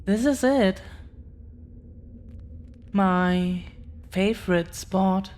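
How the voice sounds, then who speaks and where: A woman playing an affectionate, earnest girlfriend of dragon descent in an intimate roleplay audio, speaking gently with lingering pauses. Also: mid-range voice. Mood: tender, reassuring. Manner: gentle, hesitant, lingering pauses between phrases.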